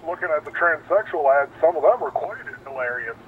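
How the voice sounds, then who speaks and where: A man's voice: a little high, expressive, brisk, over a noisy crowd. A man from the United States chatting casually with other gamers over online voice chat. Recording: tinny voice-chat line, noisy, distant.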